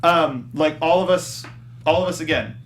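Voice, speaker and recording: A man's voice: on the high side, moderate pace. A man hosting a film review panel, leading a casual discussion and moving it along. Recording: clearly noisy room background.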